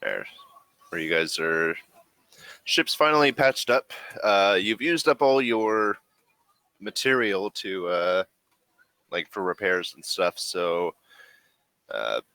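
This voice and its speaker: A man narrating casually as game master of a tabletop role-playing game, in a halting way. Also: low voice, pushed and bright, no echo.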